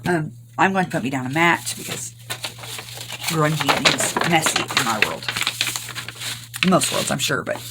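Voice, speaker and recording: An older woman from Arkansas, hoarse from recent illness, chatting through a rambling crafting tutorial. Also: sits low, animated, a little slow, pauses often, very noisy. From Arkansas, US South. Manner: rambling, homey, many ums. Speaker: craft channel host.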